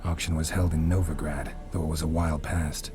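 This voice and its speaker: An actor playing a weary, gruff male monster hunter with terse, dry, sarcastic delivery in a video game. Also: very deep voice, little pitch movement, a little fast. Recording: game cutscene with music score.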